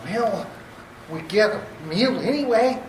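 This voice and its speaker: A man's voice: high voice, animated, slow. A man playing a timid, giggly doctor sidekick to a villain in a radio play, stammering and fawning. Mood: eager, fawning. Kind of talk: radio theater acting. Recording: echoey, distant, faint noise.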